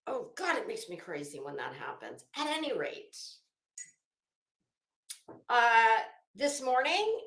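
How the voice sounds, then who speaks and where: A woman's voice: sits high, sharp tone. A woman hosting a casual livestream, rambling and interrupting herself as she hunts for words, with dramatic, emphatic storytelling. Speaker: livestream host. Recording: roomy, echoing room sound.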